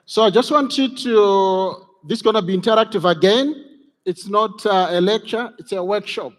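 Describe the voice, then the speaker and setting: A man's voice: high-pitched, normal speed. A man facilitating an interactive conference workshop, encouraging the audience and repeating his key phrases. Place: large conference hall. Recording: reverberant conference hall.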